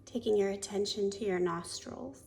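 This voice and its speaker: A woman yoga teacher leading a guided meditation in a soft, soothing, gently instructive voice that often trails into a breathy near-whisper. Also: medium pitch, fairly even intonation, normal speed, a little hiss or hum.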